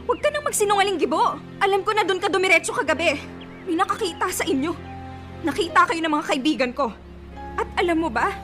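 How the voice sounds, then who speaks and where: A woman's voice: very high-pitched, animated, fast, faint music behind. An actress playing a pregnant young woman who confronts her cheating partner in a radio drama. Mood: accusing. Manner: accusing, escalates to shouting and crying.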